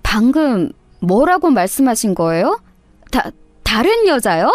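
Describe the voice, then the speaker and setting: A woman's voice: a little high, big pitch swings, a little slow, darkish tone, no room sound. A woman voicing a wronged young wife and mother, indignant and confrontational, in a dramatized story. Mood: shocked. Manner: confrontational, wounded. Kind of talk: dramatized radio story dialogue.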